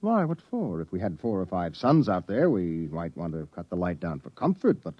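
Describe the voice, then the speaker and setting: An insistent young doubter firing incredulous questions. His delivery swings widely in pitch, on a dull, old radio recording. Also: huge pitch swings, moderate pace, dry recording, exaggerated voice. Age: young adult. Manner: urgent, incredulous, probing. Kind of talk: 1950s radio acting.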